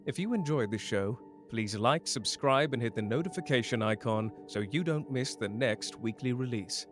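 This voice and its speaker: A man in a mid-pitched voice reads a polite, scripted sign-off for his channel. His delivery stays even and unhurried. Soft music plays faintly beneath him. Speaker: channel creator. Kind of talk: scripted outro.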